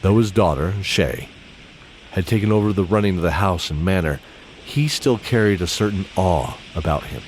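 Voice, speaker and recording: A man's voice: sits low, animated, normal speed, poor audio. A man narrating a scripted fantasy audio drama in storybook style. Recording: clear background ambience noise.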